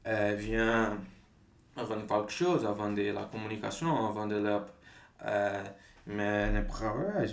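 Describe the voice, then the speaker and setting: Man, hesitant, many fillers, echoey room. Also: fairly low pitch. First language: Portuguese. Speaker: language-learning video host. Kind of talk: casual talk to camera.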